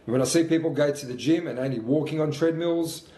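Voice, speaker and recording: A man's voice: a little high, fairly quick, dark tone, reverberant. A man of about fifty, talking through his own sprint workout as a fitness coach, motivational and matter-of-fact. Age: 50s.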